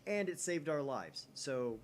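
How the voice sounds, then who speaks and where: Man from the US, lively pitch, casual game commentary, reads aloud, jokey asides, slightly noisy home recording. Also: a little slow. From United States. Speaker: let's play host. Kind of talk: casual reading aloud and commentary on a visual novel.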